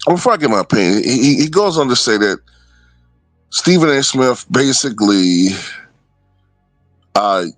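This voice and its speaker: A man's voice: mid-range voice, big pitch swings, normal speed, long pauses, dry recording, soft background music. A man giving casual, opinionated commentary off the cuff, often checking in with the listener. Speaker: online political commentator.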